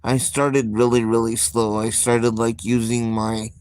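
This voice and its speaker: A man hosting a casual live stream, talking in a slow, soft-spoken, reflective way with frequent pauses. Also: medium pitch, level tone, clean tone. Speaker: livestream host.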